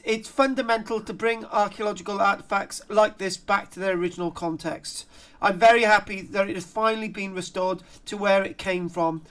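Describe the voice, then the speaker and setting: Chatty, halting South Welsh male lecturer. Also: sits high, fairly bright tone. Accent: South Wales. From Barry, south Wales. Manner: chatty, jokey, digressive, halting. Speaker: archaeology lecturer. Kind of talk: informal online lecture, reading aloud and commenting. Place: indoor room, on a group video call.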